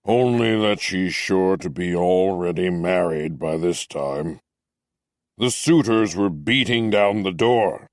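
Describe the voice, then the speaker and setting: A stern, fatherly captain, as a male narrator puts him on, speaking slowly and deliberately in a deep, low voice. He sounds measured and gently reproving. The recording is dry and close, with no room sound. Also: no echo. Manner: slow, measured, gently admonishing. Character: stern but kindly male captain, middle-aged. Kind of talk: audiobook character voice.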